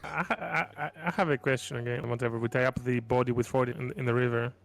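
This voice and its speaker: Man, rapid speech, flat intonation, casual game player, mid pitch, background music. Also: vocal fry. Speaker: player in a tabletop roleplaying game. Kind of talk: casual questioning chat.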